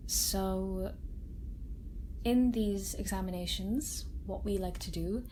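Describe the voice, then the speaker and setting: Slow, gentle woman acting a kind female doctor. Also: medium pitch, a little hiss or hum, natural voice, rough recording. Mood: gentle explaining. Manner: reassuring, hesitant, with soft chuckles. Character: kind, gentle female scientist doctor examining an alien patient. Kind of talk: soft-spoken ASMR roleplay. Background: sci-fi facility ambience.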